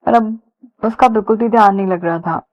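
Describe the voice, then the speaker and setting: A woman's voice: medium pitch, fairly quick. A woman narrating a romance story in a conversational storytelling style. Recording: dull lo-fi sound.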